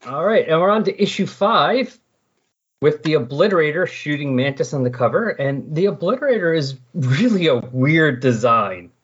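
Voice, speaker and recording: A man's voice: fairly high pitch, expressive, moderate pace, slightly rough. A man hosting a casual comic-book discussion, explaining things in a conversational way. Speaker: podcast host. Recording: dull, lo-fi voice recording.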